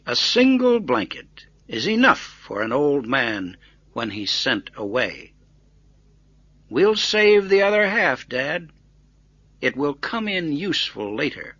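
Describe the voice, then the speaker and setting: An earnest young storybook boy, acted by a male narrator, bold and pointed. The delivery is animated and forceful, with deliberate insistence, on a dry, slightly dull recording. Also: on the high side, dry recording, exaggerated voice. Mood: insistent.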